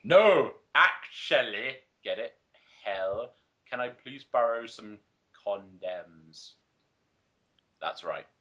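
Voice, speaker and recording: A young man with a fairly high, bright voice reads over-dramatically, slow and emphatic, with big swings in pitch. The sound is dull and lo-fi. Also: expressive. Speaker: player in a comedic dramatic reading game. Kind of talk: over-dramatic read-aloud with outbursts. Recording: dull lo-fi mic, faint noise and clicks.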